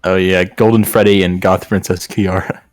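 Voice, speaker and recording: A man's voice: low-pitched, little pitch movement, moderate pace, no echo, faint music behind. A young man chatting casually and playfully, with a theatrical streak, during a group read-along.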